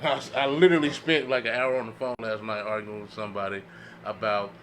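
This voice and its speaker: A man in a casual group discussion, speaking haltingly in slang and often saying you know. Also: on the low side, steady tone, a bit bright. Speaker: podcast panelist. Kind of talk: casual opinionated group chat.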